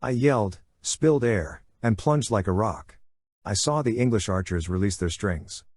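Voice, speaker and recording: A man's voice: lowish voice, expressive, moderate pace, a little mellow, no room sound, clean studio sound. A man narrating a first-person adventure novel in a conversational, punchy, dramatic way. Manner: conversational, punchy short phrases, acts out sound effects. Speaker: audiobook narrator.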